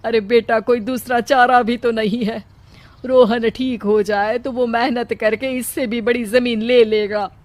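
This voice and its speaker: Woman as slow-spoken, anxious older village mother. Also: a little high, fairly even intonation, a little mellow, no room sound, natural voice. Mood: resigned, worried. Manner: worried, maternal.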